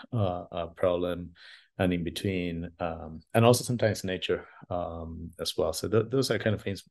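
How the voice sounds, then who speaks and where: Deep-voiced man, halting interview talk. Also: a little mellow. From Argentina. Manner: hesitant, halting, thinking aloud. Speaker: podcast guest, engineer and artist. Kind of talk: casual interview answers.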